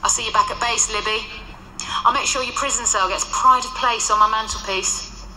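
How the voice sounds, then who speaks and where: An actress playing the ghost of a centuries-old nun in a radio drama, dry, sardonic and ruthless. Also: female voice, on the high side, moderate pace, echoey room, faint music behind, rough recording. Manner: dry, sardonic, explains calmly.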